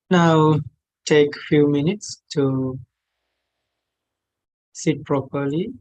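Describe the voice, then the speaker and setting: A man who is a non-native English speaker, guiding a meditation in gentle, measured phrases with long pauses. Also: fairly high pitch, rough recording. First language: not English. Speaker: meditation teacher. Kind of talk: guided meditation instruction.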